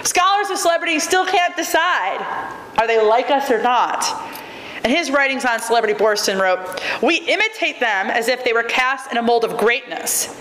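A woman in her thirties reads a prepared academic lecture at a podium, measured and wryly emphatic, her fairly high voice carrying through a large auditorium. The sound is roomy with a long reverb tail and a faint hiss of room noise behind it. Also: lively intonation, a bit bright. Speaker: keynote lecturer. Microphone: podium microphone.

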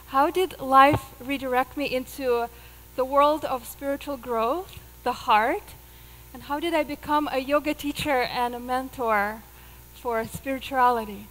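A woman's voice: high voice, measured pace, in a large hall, poor audio. A woman in her thirties from Russia with a Russian accent, telling a personal story in an earnest way as a speaker on a theater stage, miked with a headset. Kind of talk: live stage talk.

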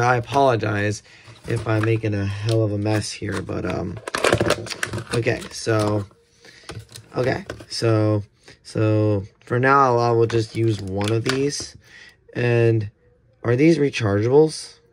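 A man's voice: fairly low pitch, unhurried, pauses often. A man giving casual, unscripted unboxing commentary in a hesitant, rambling way, often correcting himself. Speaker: unboxing video host. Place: indoors at a table. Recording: clear background noise, handling clicks.

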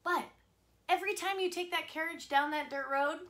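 A woman in her thirties giving scripted instructional advice to camera as a voice-over coach, in an expressive presenter's delivery. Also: extremely high pitch, normal speed. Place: small home studio, indoors.

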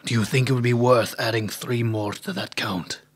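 Husky, scheming bear-man played by a man. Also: medium pitch, fairly even intonation, moderate pace, close to an everyday voice. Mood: conspiratorial. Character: scheming bear-man henchman plotting a takeover, sly and calculating. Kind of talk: audio drama acting.

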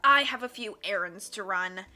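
A woman's voice: medium pitch, moderate pace, pushed and bright. A woman voicing a scheming, theatrical male villain who gloats with mocking laughs and growled threats. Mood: gloating.

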